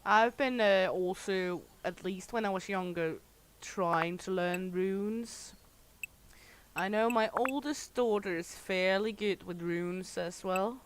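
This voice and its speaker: A woman's voice: medium pitch, slow. A middle-aged woman speaks English with a thick Danish accent, her voice in a plain middle register. She chats candidly with her livestream audience as she plays, answering them as she goes. A faint hiss sits under the recording. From Denmark. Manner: chatty, candid, reads and answers chat aloud. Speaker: streamer. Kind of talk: casual livestream chat while gaming.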